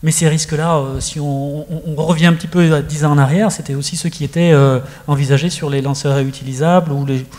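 A middle-aged man with a somewhat high voice hosts a studio interview. He speaks conversationally, setting up topics and asking questions into a handheld mic. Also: steady tone, fast talker, hardly any pauses, darkish tone. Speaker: host. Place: studio set with screens.

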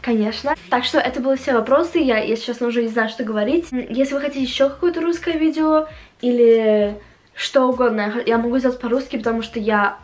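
A young woman from Latvia speaking with an English-influenced accent, chatting casually to the camera as a vlogger and haltingly searching for words. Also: on the high side. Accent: English-influenced Russian. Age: young adult. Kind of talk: casual chat to camera, answering viewer questions. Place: small home room, indoors.

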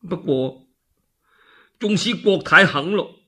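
A male storyteller plays a gentle, devoted noble wife in a radio drama. She consoles softly, with husky tone and supple, lively intonation. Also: on the high side, takes it slowly, exaggerated voice. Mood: consoling. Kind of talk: one-man Cantonese radio storytelling drama.

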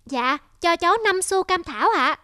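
A woman's voice: extremely high pitch, animated, moderate pace, sharp tone, no echo, studio quality, heavily acted. A woman voicing a playful, polite little village boy in a cartoon. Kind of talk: cartoon character acting. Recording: music under the voice.

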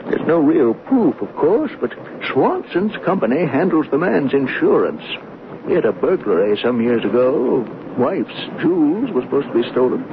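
Stiff formal rich banker type, higher voice w lots of swing, tinny old radio play. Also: male voice, big pitch swings, moderate pace, gravelly. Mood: confiding, suspicious. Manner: formal, reserved. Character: strict, dignified male Wall Street big shot. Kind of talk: 1970s radio drama acting. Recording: noisy tinny narrow-band old radio recording.

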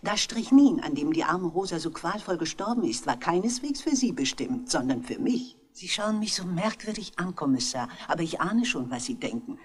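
Genteel, low-voiced elderly widow. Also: female voice, normal speed, hardly any pauses. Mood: anxious, earnest. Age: older. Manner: polite, refined, expressive. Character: genteel elderly widow, courteous, anxious, quietly self-possessed. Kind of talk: German 1960s radio drama acting.

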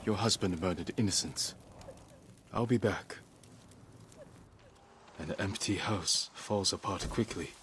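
A man playing a stoic, earnest young samurai in a video game, speaking in a measured, firm way. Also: deep voice, fairly bright tone, slight background noise. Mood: firm, persuasive. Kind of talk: video game drama acting. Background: faint game music.

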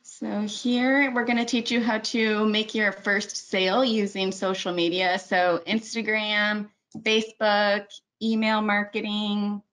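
A woman's voice: medium pitch, flat delivery, slow pace, few pauses, fairly bright tone, low-quality recording. Chatty woman presenting a webinar. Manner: chatty, self-correcting, many ums. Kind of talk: screen-share webinar walkthrough.

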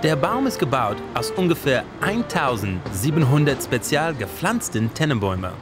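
A man's voice: medium pitch, big pitch swings, moderate pace. An American man narrating a voice-over in German, with small grammar slips. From United States. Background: music.

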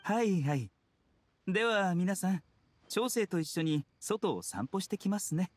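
A man playing a gentle, secretive doctor in a Japanese anime game, speaking with composed, formal courtesy. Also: high voice, animated, a little slow, no echo. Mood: polite, sly.

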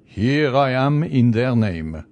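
A man's voice: medium pitch, big pitch swings, slow, a little mellow, pitched above the performer's own voice. A man voicing a courteous, formal male official in an audiobook. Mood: formal, insistent. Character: formal, polite male official collecting for charity. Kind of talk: audiobook character voice.

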